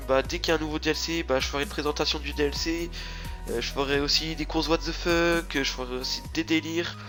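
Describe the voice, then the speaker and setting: A young man talks through a head cold, his voice nasal and congested and sitting a little high. He rambles loosely, full of fillers and false starts. Faint music plays underneath. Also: pushed and bright. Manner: rambling, many fillers and restarts. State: stuffy nose from a cold. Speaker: gaming channel host. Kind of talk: rambling casual gaming commentary.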